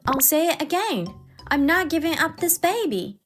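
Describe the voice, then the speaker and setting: Woman doing a high voiced young wife, defiant n firm, manga drama, music under it. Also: sing-song, normal speed. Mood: resolute. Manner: firm, assertive. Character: young pregnant wife, defiant, standing her ground. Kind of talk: dramatized manga story dub.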